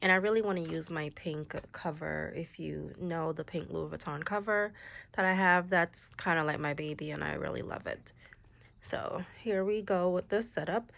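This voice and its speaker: A young woman with a slightly low voice and a stuffy, nasal sound from a cold talks through her planner pages in a relaxed, chatty way. She drops in the odd "like" and "um" as she goes. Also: moderate pace, vocal fry. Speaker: planner vlogger. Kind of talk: casual show-and-tell planner walkthrough.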